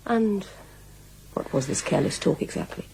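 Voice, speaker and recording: A woman's voice: mid-range voice, big pitch swings, moderate pace, gravelly, mellow, slight background noise, rough recording. An actress playing a stern, domineering housekeeper in a radio drama, curt, commanding and menacing with her staff. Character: stern domineering housekeeper in charge of Nazi-era servants.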